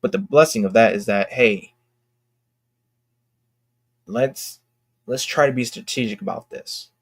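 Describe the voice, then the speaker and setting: A young man talking casually and candidly to his fans in a halting, reflective, earnest way, as an independent creator. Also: mid-range voice, dry recording. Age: young adult. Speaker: indie comic creator and vlogger.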